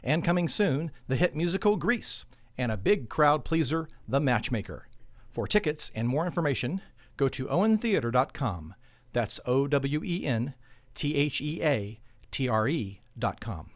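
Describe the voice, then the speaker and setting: A man delivers a scripted promotional announcement. His voice is mid-pitched and the recording is dry, with no room sound. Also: big pitch swings, normal speed, dry recording. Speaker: announcer for a theater company.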